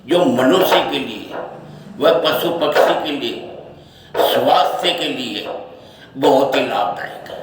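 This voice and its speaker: Echoey, slow-talking man. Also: mid-range voice, expressive. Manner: halting, speaks in short broken phrases and repeats himself. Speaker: social media speaker giving his opinion. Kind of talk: unscripted opinion monologue. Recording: echoey, distant mic, faint noise.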